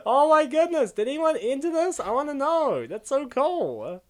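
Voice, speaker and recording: A man hosting a comic dub with excitable, rambling, casual reaction commentary. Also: extremely high pitch, a little slow.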